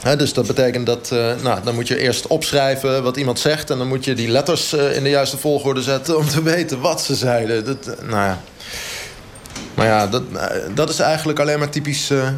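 A man playing a blunt, oblivious fitness coach who explains things haltingly and matter-of-factly in a mock radio interview. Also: mid-range voice, slight background noise, close to an everyday voice. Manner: halting, hesitant, matter-of-fact.

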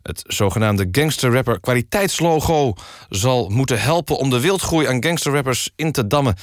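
A man's voice: mid-range voice, moderate pace, no room sound, studio quality, close to an everyday voice. A man playing a straight-faced radio magazine host, reading mock news and interviewing politely. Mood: deadpan. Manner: deadpan, polite, stumbling in questions.

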